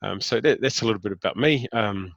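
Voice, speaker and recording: A New Zealand man in his fifties with a very low voice, answering interview questions in a relaxed, halting way. He fills his answers with ums and restarts as he gathers his thoughts. The sound is close and dry. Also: vocal fry, no echo, muffled recording. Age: 50s. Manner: hesitant, many fillers and restarts. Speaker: interview guest. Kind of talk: casual interview talk.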